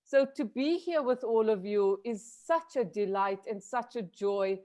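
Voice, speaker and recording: A woman's voice: mid-range voice, a little slow, dark tone. A woman from South Africa answering questions on a video call in an earnest, grateful way, with frequent restarts. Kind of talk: reflective panel discussion.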